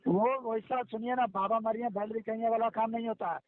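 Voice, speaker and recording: Over a muffled phone recording comes a high-pitched male voice. He is a debt collector who scolds, interrupts and repeats his demands insistently. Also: steady tone, brisk. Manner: pushy, scolding, repetitive, interrupting. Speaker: bank loan recovery official. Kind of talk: phone argument demanding repayment. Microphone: telephone call recording.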